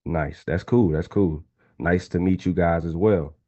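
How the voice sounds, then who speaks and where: A young man in his twenties with a low, mellow voice teaches a live online trading class. He talks casually and hypes up his listeners, often turning to the chat. The sound is a little dull and lo-fi. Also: measured pace. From Atlanta, Georgia. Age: 20s. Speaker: trading instructor.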